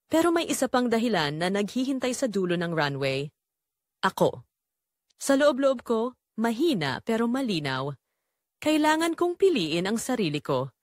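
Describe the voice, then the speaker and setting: A woman's voice: on the high side, big pitch swings, normal speed, pauses often, no room sound, clean studio sound. A woman with a Filipino accent narrating a scripted first-person drama in an emotive, confessional way.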